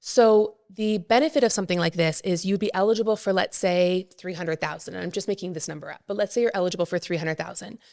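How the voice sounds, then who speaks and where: Woman guest expert, low pitch, calm explanatory podcast talk. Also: fast, vocal fry, hardly any pauses. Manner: explains plainly, with frequent fillers. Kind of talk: casual podcast interview.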